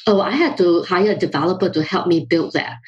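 A middle-aged woman from Singapore, a company founder, answering questions as a podcast guest, measured and quick to laugh. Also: lowish voice, breathy edge, highs cut off. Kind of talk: remote podcast interview.

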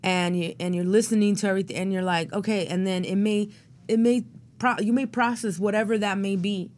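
A woman's voice: medium pitch, steady tone, a little fast, a little mellow. A woman who is an actor and playwright, speaking as an interview guest in a rambling, reflective way with many fillers. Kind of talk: interview conversation.

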